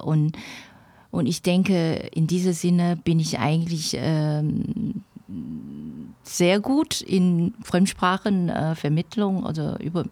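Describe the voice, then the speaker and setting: A woman's voice: low-pitched, creaky, warm tone, no echo. A middle-aged woman from Taiwan, a native Chinese speaker with a Chinese accent, answering interview questions in non-native grammar with hesitant, searching phrasing. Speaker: interviewee, language professor. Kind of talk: reflective interview answers in conversational speech.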